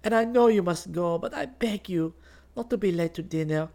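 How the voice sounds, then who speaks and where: A man's voice: high voice, normal speed, darkish tone, voice pushed up. A man voicing an anxious, affectionate young woman who pleads tenderly with her lover in an audiobook. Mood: anxious pleading. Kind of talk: audiobook character dialogue.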